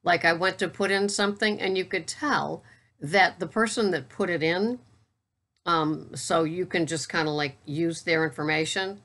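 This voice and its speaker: This is a woman in her sixties with a notably low voice, chatting to her viewers in an unhurried, even way. She calls her own delivery a monotone, but she keeps it plain and matter-of-fact, with the odd wry aside. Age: 60s. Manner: steady, matter-of-fact, self-described monotone. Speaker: keto vlogger and coach. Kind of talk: casual talk to camera.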